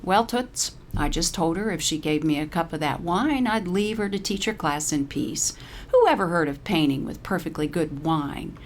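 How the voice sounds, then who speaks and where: A woman's voice: on the low side, lively intonation, normal speed, natural voice. Woman acting a brash, crotchety old lady, noisy recording. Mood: smug, cheeky. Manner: brash, demanding, wisecracking. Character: crotchety, sharp-tongued old woman in a retirement home.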